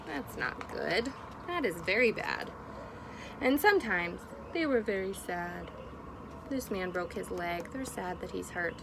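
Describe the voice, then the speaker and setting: A woman teacher doing an interactive picture-book read-aloud for young children, expressive and encouraging. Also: medium pitch, unhurried. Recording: clearly noisy background.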